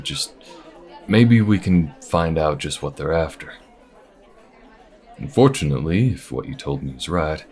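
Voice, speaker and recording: A man voicing an easygoing, wry alien bounty hunter with folksy, unhurried phrasing in an audio roleplay. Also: rumbling low. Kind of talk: audio roleplay addressed to the listener. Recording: restaurant chatter behind. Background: restaurant crowd ambience.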